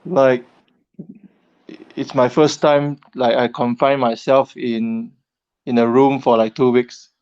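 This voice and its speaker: A man's voice: mid-range voice, flat delivery, slow pace, a little mellow, smooth, dry recording, highs cut off. A man from Malaysia, a guest on a live stream call, giving short, hesitant plain answers. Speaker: guest caller. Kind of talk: casual interview chat.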